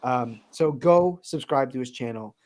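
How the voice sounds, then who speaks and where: A man's voice: mid-range voice, a little slow, a little mellow. A man hosting a casual comics chat, talking with enthusiasm, swearing now and then and leaning on fillers. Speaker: podcast host.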